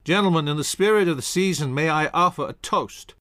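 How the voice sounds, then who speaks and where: A man's voice: on the high side, brisk, a bit bright, no room sound, clean studio sound, voice pushed up, natural voice. A man voicing a commanding male general who speaks in formal, rousing tones.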